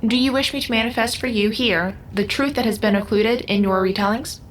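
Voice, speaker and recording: A woman's voice: a little high, a little fast, slight background noise, natural voice. A woman playing a formal, literal artificial being in an audio drama, speaking in a precise, stilted, unemotional way. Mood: calm, detached.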